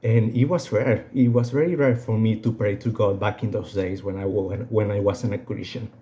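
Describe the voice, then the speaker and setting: A young man with a Venezuelan accent and native Spanish, giving an unscripted personal testimony in a halting way, often repeating phrases. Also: lowish voice, steady tone, warm tone, muffled recording. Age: young adult.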